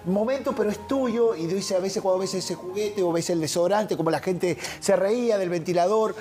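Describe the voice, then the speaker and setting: A man's voice: high voice, normal speed, mellow. A man co-hosting a studio talk show, trading teasing banter on a lapel mic. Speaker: co-host. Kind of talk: casual chat. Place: TV studio set.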